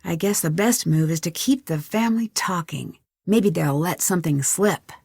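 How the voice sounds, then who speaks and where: A woman's voice: lowish voice, normal speed, gravelly, a little mellow, slightly rough, no room sound, clean studio sound. An actress playing a curious, level-headed young female detective, chatting earnestly and a little wryly in a video game. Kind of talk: conversational game dialogue, one side of phone calls.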